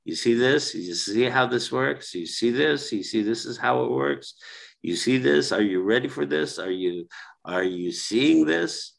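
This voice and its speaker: Slow, gravelly man musing thoughtfully. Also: medium pitch, expressive. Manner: slow and ruminative, with many fillers and repetitions. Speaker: guest speaker. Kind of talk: reflective podcast conversation.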